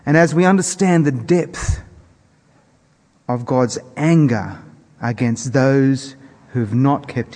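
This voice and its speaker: Slow, mellow male preacher over soft guitar. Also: mid-range voice. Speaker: preacher introducing scripture. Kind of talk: sermon. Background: soft plucked guitar music.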